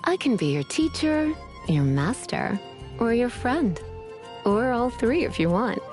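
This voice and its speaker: Languid librarian sorceress for a fantasy game: highish voice, unhurried, coy and teasing drawl. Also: female voice, very animated. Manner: flirtatious, drawling, sleepy. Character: languid, teasing adult female mage turned librarian. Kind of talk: video game character voice lines. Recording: music behind the voice.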